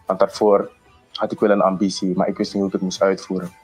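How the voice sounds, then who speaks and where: A man's voice: low-pitched, level tone, quick pace, a little mellow, breathy edge, soft background music, poor audio. A young man giving a hesitant testimonial, searching for his words. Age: young adult. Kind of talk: testimonial interview.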